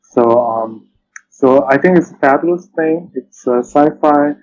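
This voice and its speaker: A slightly muffled recording with some faint noise behind it carries a man's mid-pitched voice. He speaks conversationally as an interview guest. His delivery is halting, and he often repeats a phrase before going on. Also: darkish tone. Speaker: podcast guest, literary translator.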